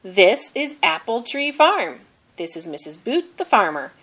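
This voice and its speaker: A woman reading a children's picture book aloud in a deliberate, simple storytelling manner, with expressive delivery of the characters' lines. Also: mid-range voice. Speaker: storybook reader.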